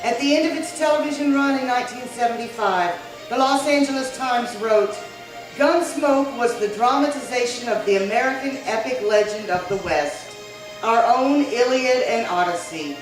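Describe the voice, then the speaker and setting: A woman radio announcer delivers scripted narration in a fairly high voice. She is distant from the mic in a strongly echoing hall, with music behind her. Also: normal speed.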